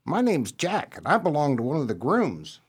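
A friendly, cheeky terrier played by a man in a radio play. The voice is raspy and highish with bouncy pitch, brash and chummy in manner. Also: wildly swooping pitch, normal speed, heavily acted.

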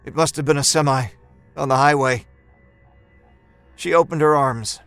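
A man reading a first-person horror story as a storyteller, in a measured, expressive and dramatic way. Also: a little high, clear, resonant tone, no echo, soft background music. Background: musical score.

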